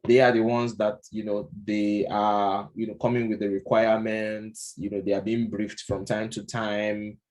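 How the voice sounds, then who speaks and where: Nigerian guy explaining stuff, kinda low voice, lots of you know and um. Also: little pitch movement, moderate pace, hardly any pauses, darkish tone, low-quality recording. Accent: Nigerian English. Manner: conversational, many fillers and restarts, occasional chuckles. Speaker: product management instructor. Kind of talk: casual unscripted lecture.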